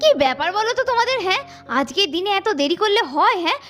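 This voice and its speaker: A woman's voice: very high voice, big pitch swings, racing, a bit bright, exaggerated voice. A woman voicing an eager, caring young woman doll in a cartoon family story, with a girlish, chiding manner. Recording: music behind the voice.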